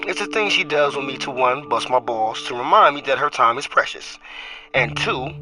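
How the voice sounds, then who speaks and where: A man's voice: mid-range voice, close to an everyday voice, rough recording. An actor playing a young football running back who narrates his own story in a reflective, wry inner monologue for an audio drama. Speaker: lead character and narrator.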